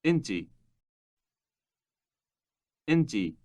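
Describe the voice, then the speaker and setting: A man with a Levantine Arabic accent says short model phrases for learners to repeat. He enunciates every syllable with careful, deliberate diction. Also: lowish voice, big pitch swings, darkish tone. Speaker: native speaker model on a language tape. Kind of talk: slow model phrases for repetition.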